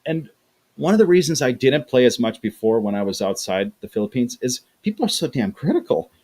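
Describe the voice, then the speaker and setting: Casting note: middle-aged man, mid-pitched, easygoing livestream host, talks in loose restarts. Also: big pitch swings, brisk, mellow, husky. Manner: chatty, repeats words, acts out quoted voices. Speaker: livestream host reading chat. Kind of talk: casual livestream chat.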